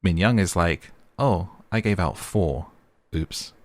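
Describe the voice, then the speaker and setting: A man's voice: sits low, slow, very noisy. A man giving a casual, chatty recap commentary of a reality show, with wry asides. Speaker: host of a recap channel.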